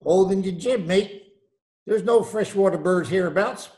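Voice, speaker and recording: An actor playing a cagey, salty tattooist who talks like an old sailor, in a radio play. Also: male voice, high-pitched, unhurried, a little mellow. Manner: salty, evasive, calls people mate. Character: cagey old-salt male tattooist, nautical slang. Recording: home video-call recording.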